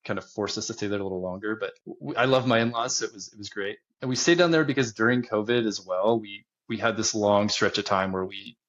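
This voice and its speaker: A man in his thirties, a podcast guest, talking casually about his business and travels, relaxed and often chuckling. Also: on the low side, quick pace, hardly any pauses, dull audio. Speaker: podcast guest, land investor. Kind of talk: casual interview talk.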